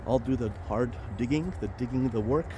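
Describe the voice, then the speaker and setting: A man hosting a casual vlog while walking outdoors near a highway, chatty and rambling with many fillers and restarts. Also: brisk, background noise. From Romania. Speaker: vlog host, financial planner.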